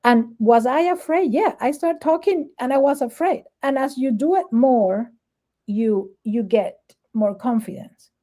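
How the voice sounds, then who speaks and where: A woman's voice: fairly high pitch, a little slow, dark tone. A middle-aged woman from Venezuela with a Venezuelan Spanish accent, a native Spanish speaker, chatting earnestly as an interview guest and repeating her key points. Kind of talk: casual interview chat.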